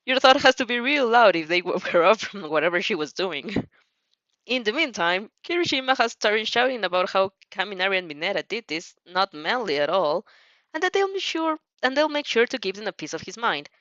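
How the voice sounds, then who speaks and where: A woman's voice: mid-range voice, very animated, a little fast, fairly bright tone, no room sound, highs cut off. A woman narrating a fan fiction story expressively, giggly and often stumbling over her words.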